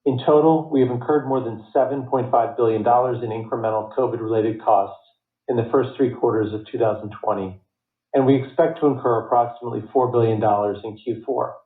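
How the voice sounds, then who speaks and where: A man reading a scripted earnings report as a company finance executive, in a formal, measured way. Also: medium pitch, steady tone. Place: conference call line. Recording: tinny phone line, roomy echo.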